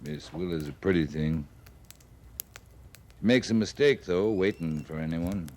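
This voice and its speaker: An actor playing a world-weary, no-nonsense cavalry captain, curt and dry, in old-time radio western style. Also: male voice, low-pitched, measured pace, a little mellow. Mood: dry, gruff. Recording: noisy old radio recording.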